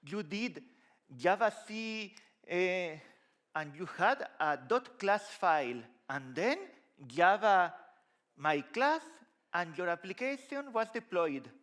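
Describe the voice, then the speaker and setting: Spanish-accented middle-aged man speaking to a conference crowd, fairly high voice, lively and conversational, headset mic on a big stage. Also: takes it slowly. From Spain. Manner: lively, conversational, gesturing while walking the stage. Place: large dark conference hall stage.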